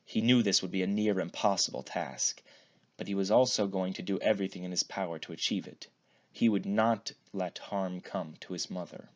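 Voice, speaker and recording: A man reading fan fiction aloud as an expressive narrated story. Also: sits low. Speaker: fan fiction podfic reader.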